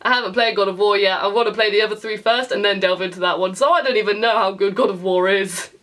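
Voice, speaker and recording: High-pitched British woman, chatty reviewer. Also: fairly bright tone. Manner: chatty, jokey, playful British slang. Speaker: host of a video game review channel. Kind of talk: casual comedic review to camera.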